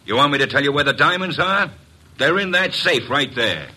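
Hard-nosed, blustering male cop, radio crime drama. Raspy and piercingly forceful, sarcastic and emphatic. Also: on the high side, lively intonation, normal speed, exaggerated voice. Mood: accusing. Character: blustering, hard-nosed male police inspector, combative and suspicious. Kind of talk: classic radio detective drama acting. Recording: vintage radio broadcast recording.

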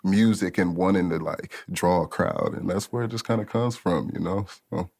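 A man in his late twenties from Africa, a studio owner telling his story in a relaxed, rambling way as a podcast guest, full of slang and fillers. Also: low-pitched, moderate pace, vocal fry. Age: late 20s.

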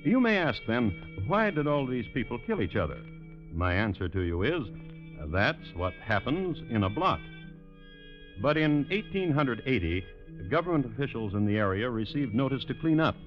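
A man's voice: medium pitch, sing-song, normal speed. A man narrating a vintage radio crime show in a dry, wry, formal storytelling manner. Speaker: host and narrator of crime drama. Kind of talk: 1950s radio scripted storytelling. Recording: dull old radio recording with music.

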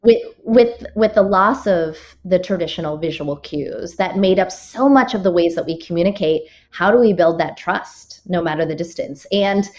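A woman's voice: on the low side, normal speed, few pauses, darkish tone. A woman author, a guest on a podcast, laying out her rules point by point and backing each with a story. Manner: structured, explains with examples and numbered rules; occasional restarts and fillers. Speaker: author and keynote speaker, guest. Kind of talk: podcast interview answers.

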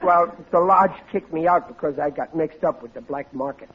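A man's voice: fairly high pitch, moderate pace, fairly bright tone, husky. An American man acting a blustering, gullible working-class husband in a 1940s radio comedy, prone to stammering and folksy grammar. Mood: dejected. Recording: muffled old radio recording, studio audience, hiss.

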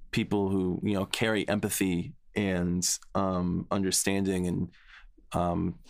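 A man talking casually and thoughtfully as a writer on a behind-the-scenes podcast, often feeling his way through phrases and repeating words. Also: deep voice. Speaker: podcast guest, series writer.

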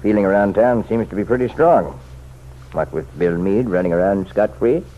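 A man's voice: lowish voice, moderate pace, lightly acted. An actor in an old-time radio drama playing an insistent, folksy townsman who offers pointed, chummy advice. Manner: folksy, chummy, leaning and persuasive. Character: insistent, insinuating townsman, nosy self-appointed helper to the sheriff. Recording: muffled, noisy vintage radio recording.

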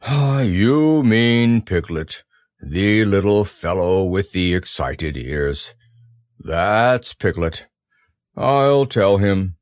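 A man reading a storybook aloud, voicing a gloomy, self-pitying old male donkey in a slow, mournful drawl. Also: deep voice, lively intonation, no echo, clean studio sound, heavily acted.

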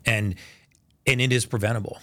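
A man hosting a podcast interview, casual and energetic, pressing home facts with emphasis. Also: mid-range voice, brisk, no echo. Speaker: podcast host. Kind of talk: conversational interview.

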